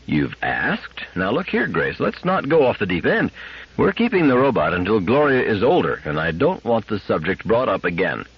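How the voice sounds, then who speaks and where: Man acting a stubborn husky-voiced husband. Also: fairly low pitch, wildly swooping pitch, moderate pace, exaggerated voice. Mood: irritated, firm. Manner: gruff, firm, exasperated. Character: stubborn, irritable husband and father, secretly soft. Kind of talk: audiobook character acting. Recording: dull lo-fi radio-like audio.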